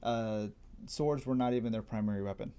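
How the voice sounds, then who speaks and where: A man in a casual chat, explaining history as a guest in an informal, digressive way, as if thinking out loud. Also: fairly low pitch, brisk, smooth. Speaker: guest martial arts enthusiast explaining history.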